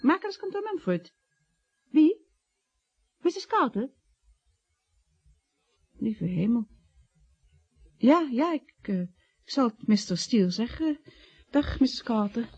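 Devoted office secretary in a radio play, coaxing, very expressive, kinda husky. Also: female voice, fairly high pitch, takes it slowly, long pauses, no echo, lo-fi sound, heavily acted. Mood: concerned. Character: admiring, efficient female office secretary, loyal and coaxing. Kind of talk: radio comedy drama acting.

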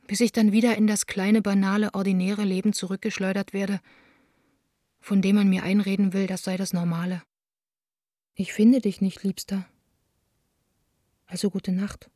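An actress plays a young theatre woman confiding a letter in a radio drama. She is introspective, lonely and full of longing. Her voice is mellow and fairly even, in a very dry recording. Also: mid-range voice, moderate pace, long pauses, no room sound, lightly acted. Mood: yearning, restless.

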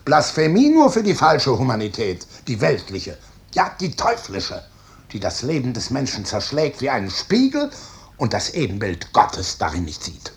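An actor playing a stern, brooding, guilt-ridden professor and clinic chief in a 1950s German radio drama, speaking with emphatic authority. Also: male voice, mid-range voice, wildly swooping pitch, moderate pace. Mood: scornful, impassioned. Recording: early 1950s radio studio recording.

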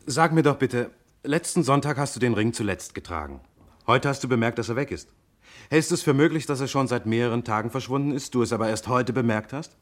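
An actor playing a persistent, courteous but stern police detective who questions in a measured way, in a German radio crime play. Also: male voice, mid-range voice, close to an everyday voice. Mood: probing, courteous. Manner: interrogating, measured, insistent.

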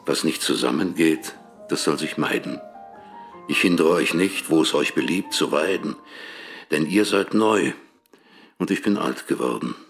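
An actor playing a weary, distrustful old poet in a literary radio drama, proud, brusque and guarded. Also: male voice, very deep voice, measured pace, long pauses, soft background music. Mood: gruff, resigned. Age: older.

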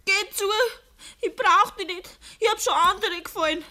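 An actress playing a wounded, headstrong young farmer's daughter with a Bavarian accent in a dialect radio play. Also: female voice, very high-pitched, moderate pace, sharp tone, exaggerated voice. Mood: hurt, defiant. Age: young adult.

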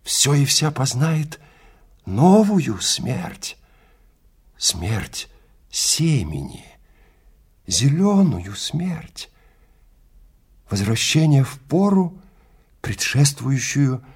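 A man narrating literary fiction in a theatrical, measured manner with deliberate phrasing. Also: medium pitch, very animated, breathy edge.

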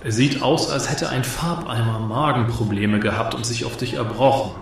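A man's voice: medium pitch, moderate pace, creaky, close to an everyday voice. A man in a radio play acting an arrogant cabaret provocateur who mocks his audience with sneering, theatrical delivery. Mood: mocking. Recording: echoey hall with audience crowd.

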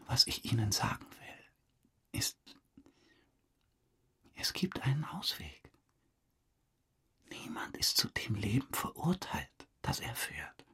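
A man's voice: fairly low pitch, creaky, slightly rough, full character voice. An actor playing a boastful, weary male suspect who rambles through a confession in a naturalistic radio drama. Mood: hushed, intense. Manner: rambling confessional monologue, acting out remembered exchanges.

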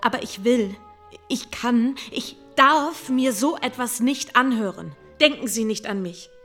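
An actress in a German radio play playing a shy, earnest young gentlewoman with a formal, halting, pleading manner. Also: on the high side, soft background music. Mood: distressed, pleading.

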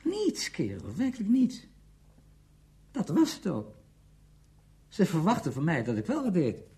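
An actor playing a defensive, self-excusing bachelor in a radio drama, talking in a hesitant but emphatic conversational way. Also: male voice, high-pitched, huge pitch swings, a little slow, warm tone, full character voice.

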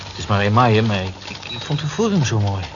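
Bashful, hesitant office man, earnest, low voice, noisy vintage radio sound. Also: sing-song, a little fast. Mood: bashful, eager. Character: shy, earnest, grateful male office worker. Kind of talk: Dutch radio drama acting. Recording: muffled, noisy old radio recording.

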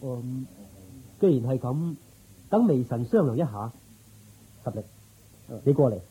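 A man's voice: medium pitch, lively intonation, measured pace, warm tone, breathy edge, rough recording. A man playing a loyal, reverent royal minister in a Cantonese radio drama, formal and deferential in his speech. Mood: respectful.

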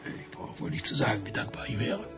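An actor playing an embarrassed, broke major who speaks in stiff, clipped, apologetic sentences while begging a favour. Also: male voice, fairly low pitch, very animated, brisk, over music.

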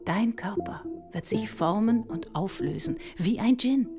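An actress playing a visionary woman mathematician, solemn and urging, with husky, breathy speech in a poetic audio drama. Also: on the high side, animated, measured pace, over loud music. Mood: solemn, commanding. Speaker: performer in an experimental audio drama. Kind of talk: poetic scripted dialogue.

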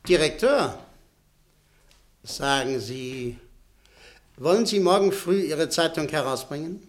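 A composed, higher-voiced businessman. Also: lively intonation. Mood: smooth, businesslike. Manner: polite, formal, measured. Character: shrewd, composed businessman director, courteous and controlling. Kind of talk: German radio play acting.

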